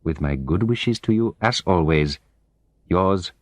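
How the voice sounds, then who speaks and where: A man's voice: low voice, lively intonation, slow, no room sound. A man reading the letter of an eager businessman, earnest, persuasive and slightly formal.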